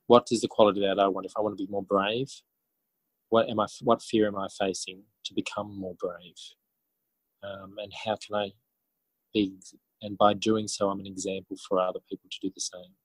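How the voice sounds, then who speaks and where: A man answering as an interview guest in a casual, halting way, thinking aloud as he goes. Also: fairly low pitch, little pitch movement, slightly rough, dry recording, low-quality recording. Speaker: interview guest, author and educator.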